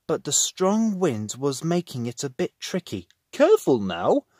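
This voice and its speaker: A man narrating a children's storybook in an expressive reading style. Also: on the high side, unhurried, no room sound, clean studio sound.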